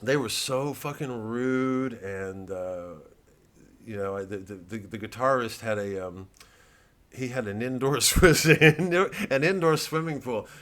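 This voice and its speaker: A middle-aged American man, a comedian, talking casually in a halting, digressive monologue full of repeats and asides. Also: mid-range voice, a bit bright. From United States. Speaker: stand-up comedian and satirist. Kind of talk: rambling casual monologue to an audience of fans.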